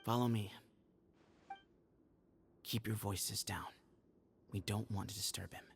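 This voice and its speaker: A gravelly guarded desert heir. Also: male voice, on the low side, fairly even intonation, slow, slight background noise. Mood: hushed. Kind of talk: game voice acting.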